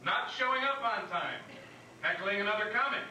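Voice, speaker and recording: A man in a comedy staged reading playing a pompous barrister who declaims charges deliberately. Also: sits high, lively intonation, sharp tone, full character voice. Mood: mock-stern. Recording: echoey room, distant mic, clear noise.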